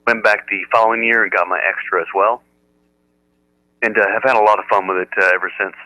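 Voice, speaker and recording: An American man chatting casually as an amateur radio operator, with a halting delivery. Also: on the low side, fairly even intonation, pushed and bright, no echo. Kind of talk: casual ham radio chat. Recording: narrow-band, telephone-like radio audio.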